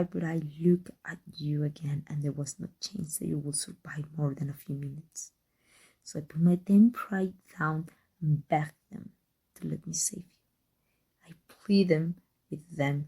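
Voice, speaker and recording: A woman with a Mexican accent playing a proud, secretly devoted villainess in an intimate ASMR roleplay, halting and emotional. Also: low-pitched, creaky, mellow, slightly rough, heavily acted. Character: proud, flustered, secretly devoted villainess with life-transfer power.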